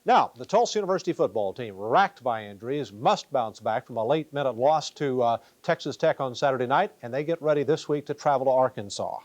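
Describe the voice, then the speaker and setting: A man delivering the sports news with a brisk, energetic manner. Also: mid-range voice, no room sound. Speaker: sports anchor.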